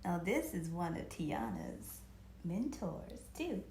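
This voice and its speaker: A woman's voice: on the low side, slow pace, noisy background. A woman hosting a children's storytime, reading aloud expressively and speaking directly to her listeners. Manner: expressive, performative, addresses viewers directly. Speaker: children's storytime host and reader. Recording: roomy, echoing home recording.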